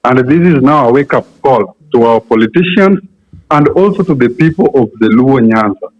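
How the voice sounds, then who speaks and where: A man's voice: medium pitch, expressive. Kenyan male caller, earnest and emphatic, dull lo-fi line. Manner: earnest, repetitive restarts, slow emphatic stresses. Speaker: radio caller. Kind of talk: call-in opinion. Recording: dull lo-fi phone-in sound.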